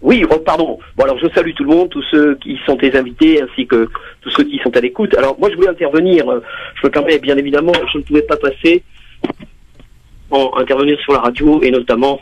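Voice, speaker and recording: A man calling in to a radio show on a muffled phone line, talking in halting restarts as he airs a grievance. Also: mid-range voice, a little hiss or hum. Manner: hesitant, restarts often, then rants. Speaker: radio caller. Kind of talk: call-in radio talk.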